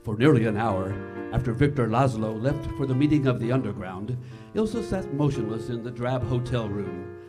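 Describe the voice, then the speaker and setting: A man's voice: mid-range voice, lively intonation, normal speed, natural voice. Man as a formal 1940s radio host over music. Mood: stately, dramatic. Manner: formal, dramatic. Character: stately 1940s male radio producer-host narrating drama. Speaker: host and narrator. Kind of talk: 1940s radio acting, scripted narration.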